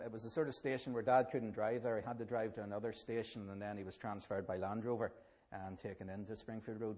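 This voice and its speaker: A middle-aged minister with a Northern Irish accent speaks to an audience in a fairly low voice. He talks haltingly and stumbles over repeated words as he tells his story. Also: a little mellow. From Northern Ireland. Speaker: Presbyterian minister. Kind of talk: personal testimony at a public event.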